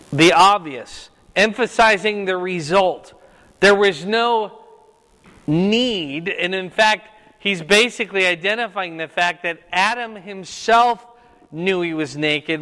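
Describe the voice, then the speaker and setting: An older man with a loud voice leading a Bible class, quizzing his group and pressing them to answer. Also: sits high. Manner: questioning, repeats and presses for answers. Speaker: Bible study teacher. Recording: reverberant room.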